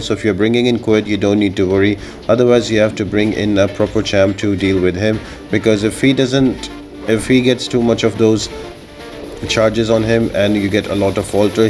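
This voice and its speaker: A man's voice: lowish voice, darkish tone. A man giving informal, instructional commentary as the host of a video game guide. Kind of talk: gameplay tips commentary, explanatory. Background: music.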